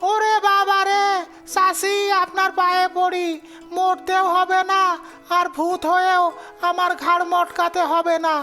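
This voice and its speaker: Nervous young cartoon villager played by a woman, pleading, very high, monotone pitch, music underneath. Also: unhurried, heavily acted. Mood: pleading, wary. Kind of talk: melodramatic cartoon dubbing. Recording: music behind the voice.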